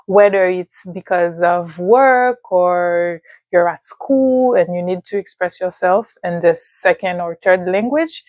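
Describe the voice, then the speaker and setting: Haitian-accented woman vlogger, calm and deliberate, slow talk, dry room sound. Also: medium pitch, no echo. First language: Haitian Creole and French. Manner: slow, thoughtful, with pauses and fillers. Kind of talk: casual advice talk to camera.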